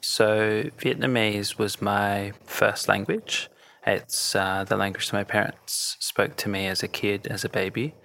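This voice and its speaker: A man, a journalist guest in a news podcast interview, speaking in a measured, thoughtful way with slight hesitations. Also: lowish voice, gravelly, pushed and bright, no echo. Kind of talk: interview conversation.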